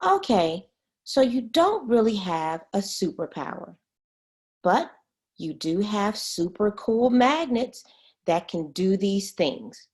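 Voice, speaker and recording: A woman reading a children's science book aloud as a teacher, expressively and pausing to explain words. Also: medium pitch, a little mellow.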